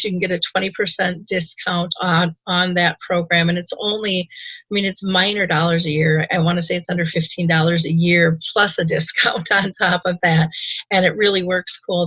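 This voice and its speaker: Chatty woman radio host, lower voice. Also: fairly bright tone, no room sound, muffled recording. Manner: chatty, enthusiastic, many ums. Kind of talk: radio talk show.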